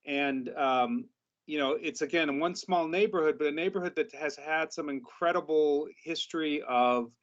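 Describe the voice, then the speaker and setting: Measured middle-aged professor, fairly high voice. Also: male voice. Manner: measured, academic explaining. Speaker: professor, interview guest. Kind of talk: interview answers. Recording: dull lo-fi sound.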